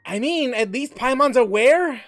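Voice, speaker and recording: Animated woman streamer reacting to a game. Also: high voice, a little slow, no echo, soft background music. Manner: sweary, reactive. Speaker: gaming streamer. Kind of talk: casual game playthrough commentary.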